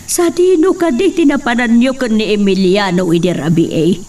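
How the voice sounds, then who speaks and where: A woman's voice: fairly high pitch, normal speed, dark tone, distant mic, close to an everyday voice. A woman playing a fretful, scolding mother in an Ilocano drama, with a Filipino Ilocano accent. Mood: fretful, questioning. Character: fretful, scolding mother fearing for her children. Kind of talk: Ilocano radio-style drama acting.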